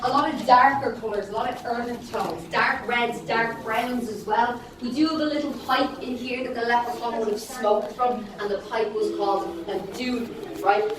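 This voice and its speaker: A woman's voice: a little high, fairly even intonation, moderate pace, few pauses, crowd noise. An Irish woman guiding a group through an indoor museum exhibit, chatty and often checking in with her listeners. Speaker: tour guide. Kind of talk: guided tour talk to a group. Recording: echoey room, voice from far off.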